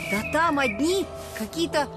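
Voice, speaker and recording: An excitable little boy alarm clock in a kids' cartoon, with a very high, squeaky voice that swoops widely. He is naive and curious, exclaims often and stretches out his words slowly. Music plays behind him. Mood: puzzled. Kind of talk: children's cartoon voice acting.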